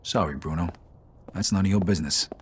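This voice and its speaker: A man's voice: deep voice, fairly quick, a little hiss or hum, natural voice. A man playing a streetwise, guarded gangster in a video game cutscene, curt and matter-of-fact. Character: terse, guarded male young gangster, streetwise.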